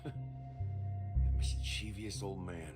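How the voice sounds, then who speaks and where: Cynical middle-aged game character: lowish voice, dry and sardonic, music clearly underneath. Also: male voice, fairly even intonation, slow pace. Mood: wry, fond. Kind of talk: video game voice acting.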